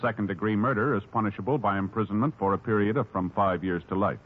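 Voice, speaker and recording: Official-sounding American man, 1950s radio announcer, husky tone, thin phone-like recording, reading a formal script. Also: on the low side. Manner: measured, official.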